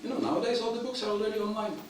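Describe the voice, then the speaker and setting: A man giving a devotional lecture in English, which is not his first language, speaking haltingly with frequent throat clearing. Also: high-pitched. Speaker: visiting preacher. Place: temple hall. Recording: echoey temple hall, mic at a distance, audience heard.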